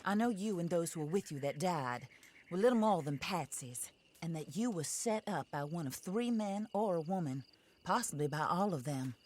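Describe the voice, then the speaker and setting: An actress playing a wealthy, resolute frontier widow in a video game, speaking with formal, composed, wry politeness. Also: female voice, lowish voice, expressive, moderate pace, a little mellow. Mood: wry, gracious. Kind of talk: video game cutscene acting.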